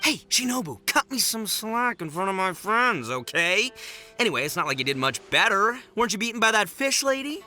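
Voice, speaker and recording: Brash, wildly animated gang boss. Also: male voice, sits high, a little fast, faint music behind, exaggerated voice. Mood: protesting. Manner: brash, bombastic, slangy. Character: loud boastful goofy young gang boss. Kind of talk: animated video game dub acting.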